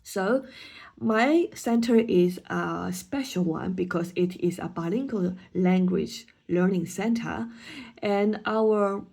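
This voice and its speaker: A woman's voice: medium pitch, slow pace, vocal fry, warm tone, husky. A woman whose first language is Chinese, a student teacher giving a hesitant class presentation with frequent ums and restarts.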